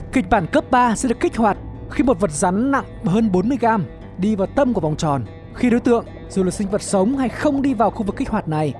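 A man narrating an animated explainer series as its host, in a scripted storytelling style. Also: high voice. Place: voice-over recorded close, with music behind.

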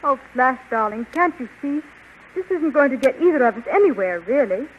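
An actress playing a weary, anxious young American heroine in a 1930s radio serial, plaintive and melodramatic. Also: female voice, high voice, expressive, brisk. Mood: fed up, pleading. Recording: noisy, dull 1930s radio recording.

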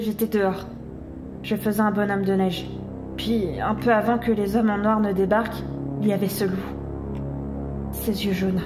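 A woman's voice: mid-range voice, close to an everyday voice. An actress playing an earnest, troubled mother who doubts herself, serious and hesitant, in an audio drama. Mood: uneasy, insistent. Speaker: voice actor in a fan audio drama. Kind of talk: French audio drama acting. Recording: roomy sound with music behind.

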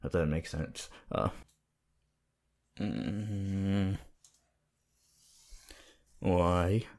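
Man acting a low, slow, teasing anime boy. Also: darkish tone, heavily acted. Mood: sceptical. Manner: halting, teasing, casual. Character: teasing, laid-back, sceptical teenage boy from anime. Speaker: voice actor. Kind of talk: intimate ASMR roleplay addressed to listener.

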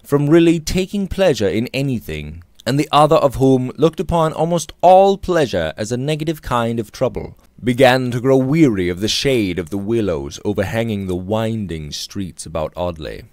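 A man in his thirties reading a Victorian novel aloud with theatrical, deliberate phrasing, close to the microphone in a small room. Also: medium pitch, animated, darkish tone, no room sound. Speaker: audiobook reader. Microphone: close studio mic on boom arm.

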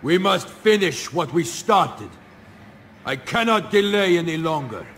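Game role: grim, dutiful alien trooper, formal and stiff, a bit raised in pitch. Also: male voice, lively intonation, a little slow, fairly bright tone, a little hiss or hum. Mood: stern. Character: stern alien soldier man, loyal to his leader.

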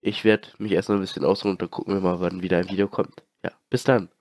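A man's voice: deep voice, level tone, fast talker, very noisy. A young man with a heavy cold, hosting a casual let's play and rambling with many fillers. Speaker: channel host, let's player. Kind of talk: casual gaming chat.

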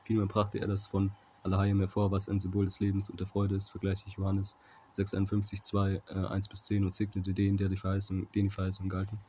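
A man giving an informal Bible commentary in a halting manner, often checking in with a short tag question, on a noisy amateur recording. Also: low-pitched, completely flat delivery, a little mellow. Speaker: Bible teacher.

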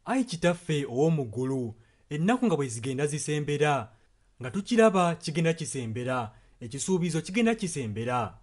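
A man's voice: a little high, very animated, fairly quick. A man interpreting a sermon and prayer solemnly, phrase by phrase. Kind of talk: consecutive translation of a sermon and prayer.

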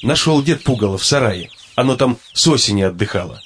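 A man's voice: mid-range voice, animated, brisk, fairly bright tone, a little hiss or hum. A man narrating a children's cartoon in a storybook style.

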